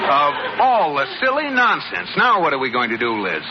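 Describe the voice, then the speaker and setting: Animated, exasperated radio husband. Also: male voice, fairly high pitch, normal speed, crowd noise. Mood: irritated. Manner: firm, dry, teasing. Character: exasperated, sensible, bantering young husband. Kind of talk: 1940s radio comedy acting. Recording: muffled, noisy old radio recording with audience.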